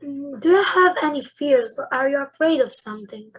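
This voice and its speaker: A woman interviewer asking eager, warm questions. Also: high voice, animated, normal speed, poor audio. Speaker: student interviewer.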